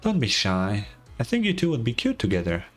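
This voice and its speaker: A man playing a calm, reassuring young man in a game, easygoing and gently teasing in manner. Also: medium pitch, brisk, faint music behind.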